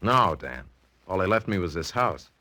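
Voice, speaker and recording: A lowish, bright male voice as an affable heir down to his last dime. He is breezy and slangy, with animated 1940s radio delivery. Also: normal speed, exaggerated voice. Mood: wry resignation. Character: weary, broke heir to a crumbling mansion, affable.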